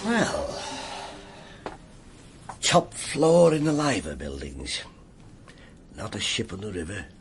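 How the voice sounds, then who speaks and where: Radio drama detective: middle-aged, English, gravelly, wry copper with widely swinging delivery. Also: male voice, lowish voice, wildly swooping pitch, slow, pauses often, over music, heavily acted. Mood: wry, reflective. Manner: dry, clipped, colloquial copper's talk. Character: gruff middle-aged male police detective inspector, wry and world-weary. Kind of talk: BBC radio drama acting.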